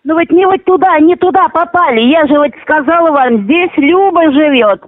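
High-voiced woman on a tinny phone. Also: moderate pace, no room sound. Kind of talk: casual phone conversation. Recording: narrowband telephone line.

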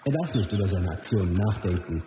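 An actor playing a calm, philosophical martial arts master in a radio docudrama, musing in a measured, solemn way. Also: male voice, deep voice. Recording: very echoey, long reverb, loud nature noise.

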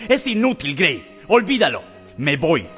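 Indignant gentleman, wildly swooping voice. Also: a little high, huge pitch swings, unhurried, exaggerated voice. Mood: indignant, disgusted. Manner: dramatic, vehement. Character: indignant, agitated gentleman in confrontation. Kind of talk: radio drama acting. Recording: reverberant hall sound over music.

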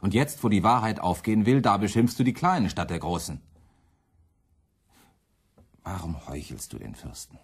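Deep, husky firebrand preacher. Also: male voice, sing-song, normal speed, long pauses, full character voice. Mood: scornful, accusing. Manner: accusatory, biting rhetoric. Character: fiery radical preacher, man, championing hungry peasants, defiant, scornful. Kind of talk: German radio play acting.

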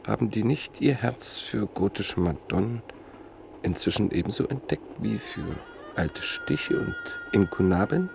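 An inquisitive train passenger with a deep, lively gravelly voice. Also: male voice, unhurried, music in the background, full character voice. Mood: curious. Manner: inquisitive, prompting questions. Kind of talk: classic radio drama acting.

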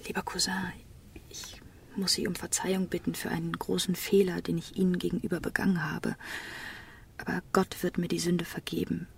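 An innocent young woman in love, devoted and earnest. She speaks in a hushed, breathy, hesitant half-whisper with a creaky edge, a little away from the mic. It is radio drama with faint noise behind her. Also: lowish voice, level tone, moderate pace, far from the mic. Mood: hushed, confessing. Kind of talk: German radio drama acting.

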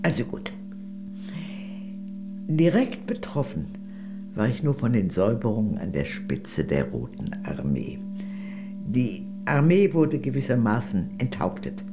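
Witty, animated old lady. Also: very deep voice, music in the background, exaggerated voice. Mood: sober, reflective. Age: older. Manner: genteel, arch, unhurried confiding delivery. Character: witty, worldly old lady, former communist, evasive and gracious. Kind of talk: radio play dialogue.

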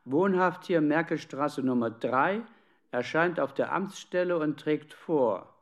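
An actor playing a formal, sober man reading out a 1930s police record in a documentary radio play. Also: a little high. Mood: sober, official. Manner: measured, official.

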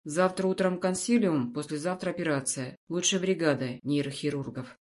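A woman voicing an imperturbable, commanding man in an audiobook story, speaking in a calm, measured, authoritative way. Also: low-pitched, husky. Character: imperturbable, commanding wealthy man in a formal suit.

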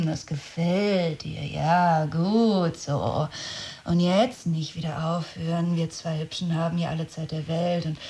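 An actress playing a wry, needy blocked novelist in an intimate, wheedling radio play monologue. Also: female voice, lowish voice, darkish tone, slightly rough, full character voice. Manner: intimate, wheedling, often dropping to a whisper. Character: blocked female novelist coaxing her absent muse, wry and needy.

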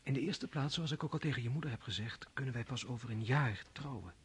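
A young nobleman in love, gentle and earnest, who often drops to a soft hush. His voice is very husky and breathy, set at an ordinary middle pitch. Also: fast talker. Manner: tender, gentle, earnest pleading. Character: noble young officer, tender and earnest lover. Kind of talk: radio drama acting.

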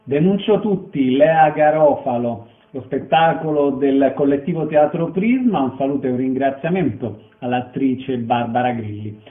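A man's voice: mid-range voice, moderate pace, few pauses. A man hosting a radio arts interview, putting questions and making introductions. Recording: narrow, phone-like radio sound.

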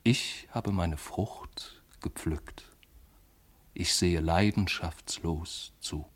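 An actor playing an aging, detached man at peace, speaking a measured, reflective monologue in a literary radio play. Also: low voice, heavily acted. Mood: serene, reflective.

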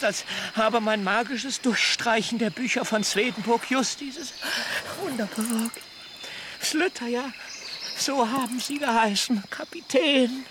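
An actor in a German radio play playing a frail, starved, eccentric castaway zealot who stammers and rambles effusively. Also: male voice, very high-pitched, noisy background.

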